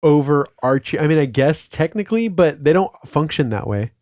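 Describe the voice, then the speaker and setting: A man's voice: fairly high pitch, lively intonation, moderate pace, a little mellow, no room sound, clean studio sound. A man co-hosting a podcast in casual chat, often questioning and loosely spoken. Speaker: podcast co-host.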